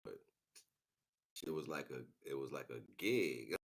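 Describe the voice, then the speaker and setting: A man rambling casually on a livestream in slangy, muttered talk with a gravelly voice. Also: extremely low pitch, lively intonation, measured pace. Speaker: live streamer. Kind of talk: casual unscripted livestream chat.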